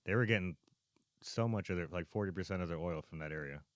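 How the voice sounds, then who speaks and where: A man's voice: deep voice, fast talker, dry recording, studio quality. An American man co-hosting a podcast, talking casually in political banter. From United States. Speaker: podcast co-host.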